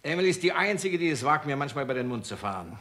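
A bright, forceful male voice as a brash studio boss in a sixties German radio thriller. His pitch swings widely as he blusters, orders people about and shrewdly probes. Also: big pitch swings, rapid-fire. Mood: jovially blustering. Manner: blustering, probing, jovially domineering. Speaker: radio drama actor. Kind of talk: 1960s German radio crime drama acting.

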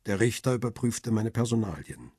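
An actor playing a middle-aged photographer who narrates his own crime story in a measured, wry, reflective way. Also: fairly low pitch, sing-song, a little mellow, breathy edge, no echo, clean studio sound. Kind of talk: first-person radio drama narration.

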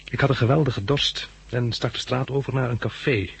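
An actor playing a shaken but helpful hospital patient in a 1960s radio drama, hesitant and urgent. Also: male voice, medium pitch, steady tone, fairly quick, vocal fry, breathy edge. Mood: grave narrating. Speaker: lead actor and narrator. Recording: 1969 radio recording, faint noise.

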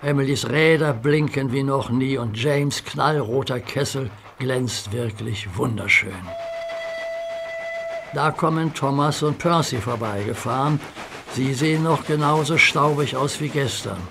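A man narrating a children's radio play in a measured storytelling manner. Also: mid-range voice, vocal fry. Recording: noisy soundtrack recording.

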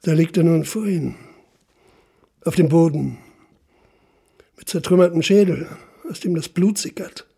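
An actor playing a stern police investigator in a radio drama, laying out accusations insistently and deliberately in a gravelly voice. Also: male voice, on the high side, dark tone, exaggerated voice. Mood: grim, deliberate. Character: stern, relentless police investigator interrogating a suspect. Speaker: narrating police official. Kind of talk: German radio drama, interrogation.

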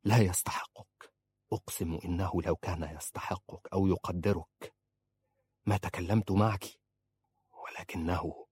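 A man voicing a gentle, loyal young man in an audiobook, speaking softly and hushed. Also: sits low, fairly even intonation, unhurried, pauses often, husky, exaggerated voice. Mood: tender. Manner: soft and hushed.

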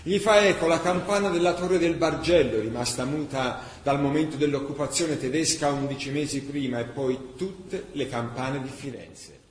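A man's voice: a little high, steady tone, moderate pace, hardly any pauses. A man narrating a scripted radio drama with an emphatic, declamatory delivery. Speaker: actor narrator. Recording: echoey room with faint background noise.

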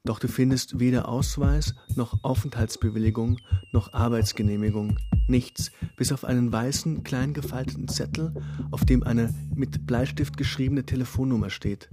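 A man narrates a radio play in the second person, in a measured, deliberate storytelling manner. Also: medium pitch, steady tone. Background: music underneath.

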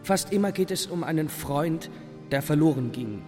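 A man's voice: fairly high pitch, lively intonation, normal speed, darkish tone, natural voice. A man giving a reflective, scripted monologue in a radio play. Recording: long reverb, faint music.

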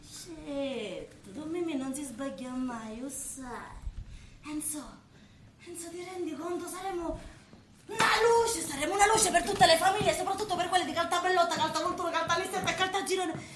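Echoey, noisy stage play: actress as young female Sicilian mob boss, high voice, bossy, volatile, theatrical. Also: normal speed. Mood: dreamy, excited. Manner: bossy, volatile, dramatic, dialect-laden. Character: fiery, ambitious young Sicilian woman gangster boss. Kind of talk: theatrical comic stage acting. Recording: echoey stage recording with loud noise.

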